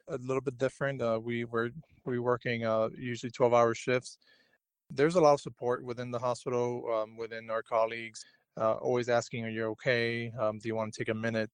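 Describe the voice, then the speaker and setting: A young man from Cuba talks earnestly and haltingly, stopping and starting often. His voice stays level and even, with little rise and fall. He sounds close to the mic in a dry, dead room. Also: mid-range voice, smooth, no room sound. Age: young adult. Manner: hesitant and earnest, many fillers and restarts. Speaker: junior physician. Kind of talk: personal radio diary.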